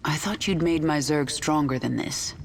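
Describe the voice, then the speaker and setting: A woman's voice: low-pitched, normal speed, a little hiss or hum. An actress playing a stern, imperious queen of an alien swarm in a video game, speaking curtly with simmering menace. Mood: stern, impatient. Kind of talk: video game character acting.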